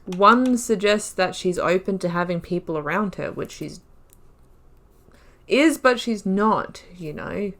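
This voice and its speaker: Chatty woman gamer, lowish voice. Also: unhurried. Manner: chatty, swears, reads dialogue choices aloud. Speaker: gaming video host. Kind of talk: casual let's play commentary.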